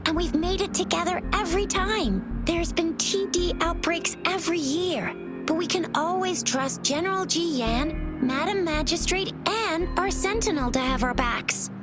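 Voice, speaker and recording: A woman playing an energetic, cheeky young girl companion in a video game, bubbly and chatty. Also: extremely high pitch, moderate pace, music in the background. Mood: confident. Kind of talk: English video game voice acting.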